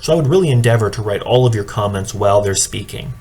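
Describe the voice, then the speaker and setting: A man giving an instructional presentation like a coach, explaining in a measured, matter-of-fact way. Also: fairly low pitch, animated, darkish tone. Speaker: speech and debate coach training judges. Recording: clearly audible background noise.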